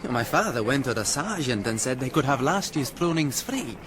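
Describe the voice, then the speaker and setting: An actor playing an earnest, plain-spoken young Spanish farm lad in a radio historical drama. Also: male voice, medium pitch, expressive, moderate pace, crowd noise, close to an everyday voice. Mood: earnest, friendly. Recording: noisy radio recording with background ambience.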